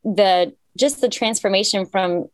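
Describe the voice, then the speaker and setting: A woman's voice: medium pitch, measured pace, no room sound. Chatty young woman singer, dry sound. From Cyprus. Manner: chatty, filler-heavy, upbeat storytelling. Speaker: singer guest. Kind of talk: casual interview chat.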